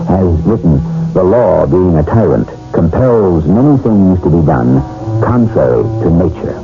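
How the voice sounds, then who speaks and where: A deep-voiced man narrates an old radio mystery as its host, measured and dramatic. Loud music plays behind him, and the recording is thin and muffled. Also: gravelly. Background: prominent music.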